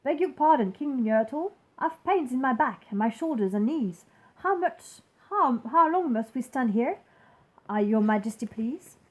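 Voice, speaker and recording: A woman acts out a small, put-upon storybook turtle lad. The voice is high and rises plaintively as it pleads. The manner stays humble and polite, groaning under a heavy burden. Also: animated, measured pace, a little mellow, poor audio. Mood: timid, pleading. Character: plain, humble, long-suffering little male turtle.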